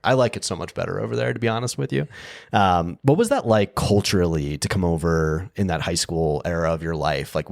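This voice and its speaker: Fast, lively-voiced male podcast host. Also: low voice, creaky, no room sound, clean studio sound. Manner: enthusiastic, slangy, says "dude". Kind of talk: casual interview chat.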